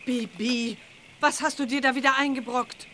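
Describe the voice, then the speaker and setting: A woman's voice: high voice, steady tone, moderate pace, bright, forceful tone, a little hiss or hum. A woman playing a protective, stern witch mother in a children's audio drama. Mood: scolding.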